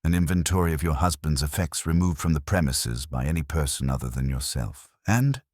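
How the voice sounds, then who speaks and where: A man acts out a ponderous, commanding detective in a mystery audiobook. The very deep, rough-edged voice delivers formal, exacting sentences with pompous authority. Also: expressive, fairly quick, a little mellow, dry recording, studio quality. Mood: stern.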